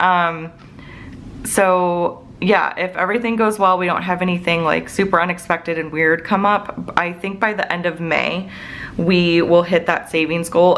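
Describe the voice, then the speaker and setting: A chatty woman in her late thirties talks through her household budget from her desk chair at home. Her voice sits a little low for a woman, and she rambles loosely with fillers and quick laughs. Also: a bit bright, a little hiss or hum. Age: 30s. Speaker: budgeting channel host. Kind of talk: casual chatty budget update.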